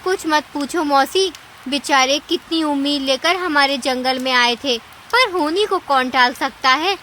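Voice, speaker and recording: Woman doing a chatty clever cartoon birdie, sympathetic and coaxing, high voice, faint rainy noise. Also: a little slow, pushed and bright, poor audio. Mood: slyly coaxing. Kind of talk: Hindi cartoon story acting.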